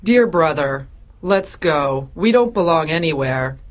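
A man's voice: fairly high pitch, expressive, unhurried, voice pushed up. A man voicing an anxious, tender older spinster sister in a storybook, earnest and plaintive. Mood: affectionate, pleading.

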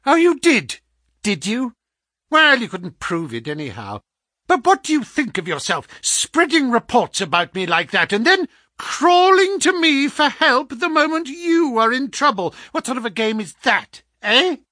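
A man's voice: high voice, very animated, slow pace, bright, forceful tone, dry recording, clean studio sound, pitched above the performer's own voice, full character voice. A man voicing a gloating, sneering villain in an audiobook story, with an insistent, mocking manner. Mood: scornful, menacing. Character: gloating, sneering villain visiting a sick enemy. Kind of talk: audiobook character acting.

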